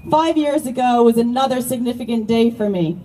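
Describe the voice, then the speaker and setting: A woman with a high voice and a non-native accent delivers a prepared, declamatory speech at a rally. The voice sits back from the microphone, with a faint hum of outdoor noise behind it. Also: fairly quick, dark tone, distant mic, rough recording. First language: not born in Ireland, long resident. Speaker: rally speaker.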